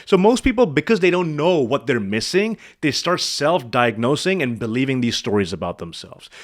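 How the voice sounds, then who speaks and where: A man's voice: mid-range voice, big pitch swings, moderate pace. A man working as a speaking coach, commenting on a client's video and quizzing the viewer with rhetorical questions.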